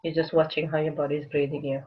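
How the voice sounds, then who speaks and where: Soothing unhurried meditation guide, muffled. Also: medium pitch, level tone.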